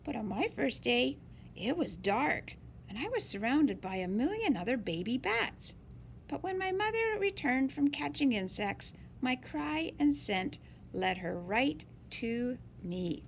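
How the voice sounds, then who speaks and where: Woman doing storytime for kids, slow and careful, kinda creaky, bit of background noise. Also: high voice. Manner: slow, deliberate, animated phrasing for young listeners. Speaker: storyteller reading a picture book aloud. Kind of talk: expressive children's read-aloud.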